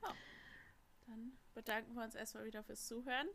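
A woman's voice: on the high side, steady tone, fairly quick, vocal fry, fairly bright tone. A woman co-hosting a podcast, asking questions and adding short agreeing replies. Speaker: podcast co-host, interviewer. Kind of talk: casual interview.

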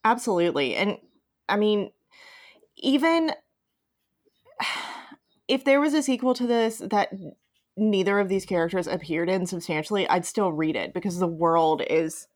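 A woman's voice: medium pitch, expressive, unhurried, long pauses. A woman hosting a podcast, chatting casually and enthusiastically about fan fiction, swearing freely. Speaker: podcast host.

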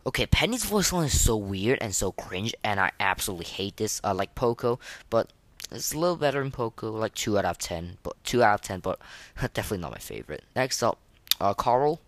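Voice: mid-range voice, big pitch swings. A podcast host giving casual, unscripted game commentary in a hesitant, rambling way, with opinionated, slangy wording. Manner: hesitant, halting, rambling delivery.

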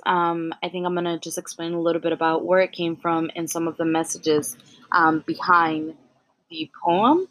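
A young woman hosting a podcast, softly introducing and then reciting her own spoken word poem in a measured way. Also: fairly low pitch, dry recording, low-quality recording. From United States. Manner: soft-spoken, frequent ums, measured poetic delivery. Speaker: podcast host.

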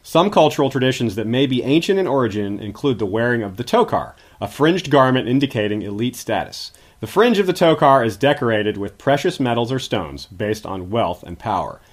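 A man's voice: mid-range voice, big pitch swings, fairly quick, hardly any pauses. A man speaking as a guest history expert, giving scripted, articulate explanations in an informative lecturing manner.

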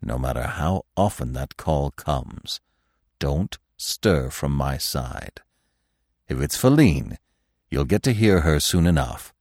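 A storybook old stag, performed by a man, wise and grave, with a very low voice. He speaks slowly and quietly, with stern authority. The sound is close and dry. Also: lively intonation, no echo, full character voice. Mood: grave, commanding. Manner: earnest, slow, stern and quiet.

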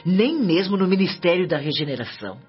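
An actress playing a talkative, gently chiding Brazilian mother and hostess in a radio drama. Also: lowish voice, big pitch swings, a little fast, husky, full character voice. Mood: earnest. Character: warm, talkative mother and hostess in spirit colony. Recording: muffled radio drama with music.

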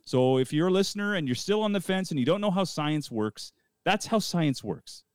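A man's voice: a little high, lively intonation, normal speed, clean tone, no room sound, studio quality. A man co-hosting a talk show, speaking casually in a plainspoken, emphatic way. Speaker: show co-host.

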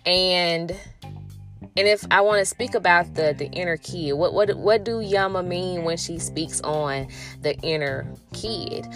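Slow-talking, low-voiced middle-aged woman. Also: fairly bright tone, soft background music. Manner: rambling, full of "you know" and restarts; spiritual, reflective talk. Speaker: vlogger telling a personal story. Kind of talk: casual spoken storytelling.

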